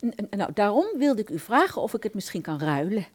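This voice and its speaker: An actress plays a puzzled older lady in a radio comedy sketch. Her pitch swings in a lively way as she stammers and repeats herself, apologetic yet stubbornly persistent. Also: brisk. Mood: pleading. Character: fussy, hesitant older woman pensioner, puzzled and thrifty. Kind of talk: radio play dialogue.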